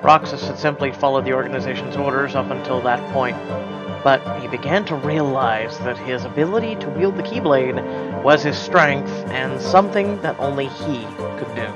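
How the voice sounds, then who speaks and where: A man reading scripted story diary entries as a narrator, in a measured, formal manner. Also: mid-range voice, dull audio. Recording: loud music behind.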